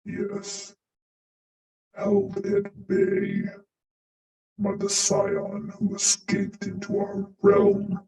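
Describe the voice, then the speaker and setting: Ominous otherworldly being, condescending and creaky, drawn-out delivery, echoing over faint music. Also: male voice, high voice, darkish tone, heavily acted. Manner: slow, condescending, portentous. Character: ominous, condescending supernatural being foretelling doom.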